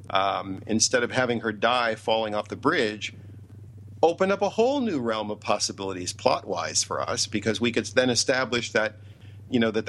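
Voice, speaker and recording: A man's voice: medium pitch, a bit bright. Emphatic man, noisy recording. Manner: opinionated, emphatic, repeats phrases for emphasis. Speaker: interview guest, TV writer and producer. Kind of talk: interview talk.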